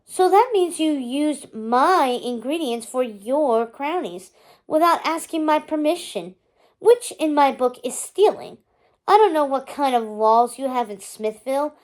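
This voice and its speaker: A woman's voice: high-pitched, expressive, a little slow, darkish tone. A woman voicing a cruel, self-satisfied stepmother in a storybook, with a taunting manner. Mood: smug, menacing. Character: scheming cruel stepmother, middle-aged woman, mocking.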